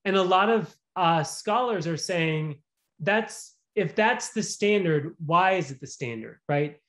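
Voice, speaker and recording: A man's voice: high voice, expressive, unhurried, fairly bright tone. A man, a visiting professor, giving an earnest lecture and answering questions in an online seminar. He often restarts phrases and checks in with "right?".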